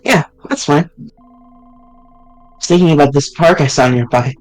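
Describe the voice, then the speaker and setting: A man's voice: on the high side, unhurried, natural voice, poor audio. A man voicing a loyal young male friend character while reading a fan visual novel aloud on stream. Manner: casual, blunt delivery with mild swearing.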